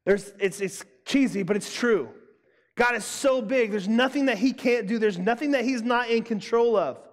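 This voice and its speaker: Young guy youth pastor, kinda high voice, loud and punchy, big echoey church hall. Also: normal speed. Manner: emphatic, repeats key phrases, says he has a loud voice. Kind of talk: youth sermon. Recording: long hall reverb.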